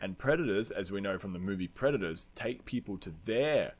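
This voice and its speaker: A man's voice: lowish voice, lively intonation, fairly quick. A man hosting a podcast, chatting casually and arguing his points in a blunt, sweary way. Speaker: podcast host. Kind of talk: casual chat, debating film characters.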